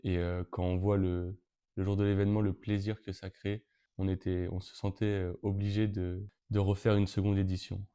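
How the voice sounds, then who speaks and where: Young man from Brittany, late teens, flat low delivery, halting with many restarts. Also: clear, resonant tone. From Brittany, France. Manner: hesitant, frequent fillers and restarts. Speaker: interviewee, event co-organizer. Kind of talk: casual interview answers.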